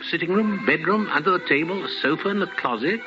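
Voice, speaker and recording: A man's voice: fairly high pitch, lively intonation, fast. A man narrating a scripted 1950s radio drama as a theatrical storyteller who savors his words. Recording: muffled old radio broadcast. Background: music.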